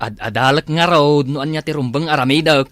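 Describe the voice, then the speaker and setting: A man's voice: a little high, brisk, a bit bright, no room sound, studio quality, lightly acted. A man playing a sheepish, lazy husband in a comedy drama, hesitant and full of excuses, with a northern Philippine accent. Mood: defensive. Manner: hesitant, evasive, placating.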